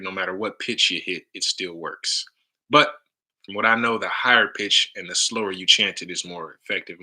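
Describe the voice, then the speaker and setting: A deep, low-pitched man talks loosely to a live stream audience, checking in with "right?" after almost every line. His voice is low but bright and forceful. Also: rough recording. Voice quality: deep baritone. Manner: loose, repeats "right?" often. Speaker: live stream mantra leader. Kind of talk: casual live stream talk with chanting.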